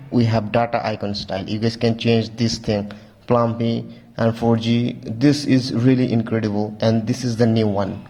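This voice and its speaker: A man giving a casual, halting walkthrough as a tech reviewer, often repeating stock phrases. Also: mid-range voice, warm tone, low-quality recording. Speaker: tech reviewer, video host.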